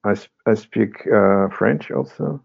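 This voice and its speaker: A man whose first language is Portuguese, a psychotherapist speaking as a guest in a casual interview, halting with many fillers and restarts. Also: lowish voice, vocal fry, breathy edge. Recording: dull lo-fi audio.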